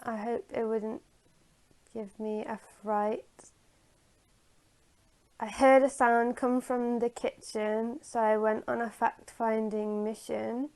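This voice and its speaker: A woman retelling a story from memory in a halting, hesitant way, searching for her words. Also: a little high, little pitch movement, darkish tone.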